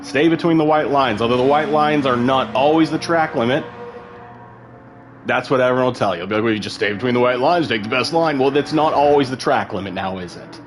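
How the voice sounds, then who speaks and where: A man's voice: mid-range voice, a little fast, slight background noise. A man giving casual, rambling live commentary on an online sim race, full of fillers and asides.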